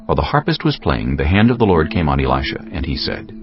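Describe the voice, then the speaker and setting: A man narrating scripture from a script in a solemn, deliberate storytelling manner. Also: very deep voice, big pitch swings, highs cut off, over music.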